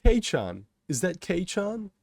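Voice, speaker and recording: Man playing a brave young man, urgent high yelling. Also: big pitch swings, slow, creaky, no room sound, full character voice. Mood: surprised calling.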